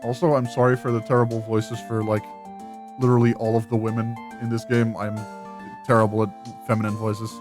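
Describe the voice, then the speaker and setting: A man's voice: medium pitch, flat delivery, a little fast, music in the background. A man making casual, apologetic asides while voicing the characters of a video game.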